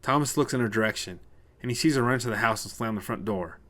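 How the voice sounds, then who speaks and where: Casting: male storyteller for short fiction, mid-range pitch, crisp forceful tone, scripted present-tense narration. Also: fast.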